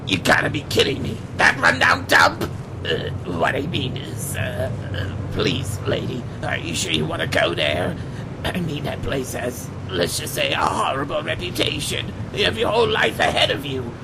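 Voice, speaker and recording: Man acting a worried blunt local man, gravelly, very animated pitch, audio play. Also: moderate pace, noisy background. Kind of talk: amateur audio drama acting.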